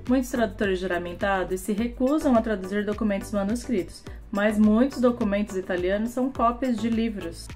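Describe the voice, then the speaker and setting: A young woman with a Brazilian Portuguese accent, explaining things to camera in a vlog from a small home office, gesturing with her hands as she talks. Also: fairly high pitch. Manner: lively, gesturing with both hands. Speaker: YouTuber.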